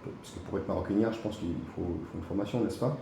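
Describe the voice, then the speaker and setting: A man's voice: lowish voice, quick pace. A man interviewing a job candidate, asking structured questions in a polite, encouraging way with fillers. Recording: very echoey room with faint noise.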